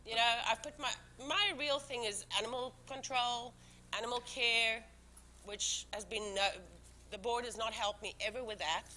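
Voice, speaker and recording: Forceful woman commissioner, English second language. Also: a little high, fairly even intonation, normal speed, a little hiss or hum, poor audio. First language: not English. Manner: emphatic and defensive, with frequent restarts. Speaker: town commissioner. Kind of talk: speaking at a public board meeting. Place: town council meeting room.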